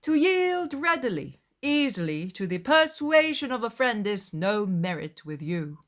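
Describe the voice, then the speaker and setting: A woman's voice: mid-range voice, big pitch swings, a little slow, a bit bright, voice pushed up. A woman voicing a witty, spirited young lady in a dramatised audiobook, speaking with pointed, arch politeness. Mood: challenging. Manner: articulate, pointed, archly polite. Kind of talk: dramatised audiobook of a classic novel.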